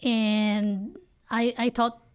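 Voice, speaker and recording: A woman from the Philippines with a Filipino accent talks earnestly in an interview, her voice sitting a little high. She speaks close to a desk podcast mic in a small office studio. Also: very slow, smooth. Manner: earnest and animated, frequent "you know". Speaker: guest, company founder. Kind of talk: candid interview answers. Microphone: desk podcast mic on boom arm.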